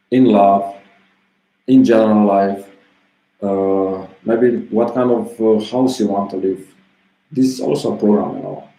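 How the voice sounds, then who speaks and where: A man in his thirties talks in a loose, meandering way, full of little filler phrases. His voice sits low and sounds warm and relaxed. The room around him is echoey, so his words ring a little. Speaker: self-help vlogger. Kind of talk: casual motivational monologue. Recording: roomy echo.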